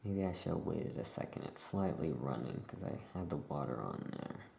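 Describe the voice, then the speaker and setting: A soft-spoken ASMR host musing in a hushed, self-deprecating way while chatting through a craft task. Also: very deep voice, expressive, normal speed, gravelly, slight background noise.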